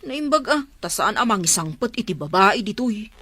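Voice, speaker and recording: A woman acting a sociable, inquisitive young confidante in an audio drama, with an Ilocano accent and expressive, probing delivery. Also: mid-range voice, normal speed, no room sound. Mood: curious, concerned.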